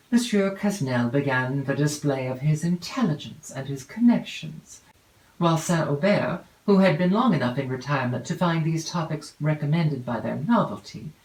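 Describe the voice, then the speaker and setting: A woman reading a classic novel as an audiobook narrator, in a measured, formal way with deliberate phrasing. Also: low voice, darkish tone. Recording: roomy, echoey home recording.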